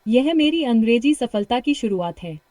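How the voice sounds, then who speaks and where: A woman with a British accent coaching a shadowing practice lesson, full of encouragement and reading practice sentences slowly and repeatedly. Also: fairly high pitch, expressive, darkish tone, no room sound. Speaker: English speaking coach.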